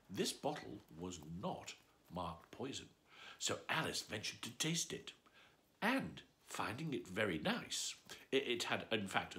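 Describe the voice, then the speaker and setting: A man's voice: lowish voice, sharp tone. A man reading a children's story aloud as a bedtime storyteller, with a theatrical, swooping delivery.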